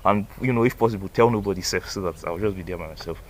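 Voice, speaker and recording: A man's voice: low-pitched, fast, a little hiss or hum. A man, rambling loosely through a casual motivational monologue for his vlog, full of "like" and "bro". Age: 20s. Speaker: vlogger. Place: outdoors by a banana tree.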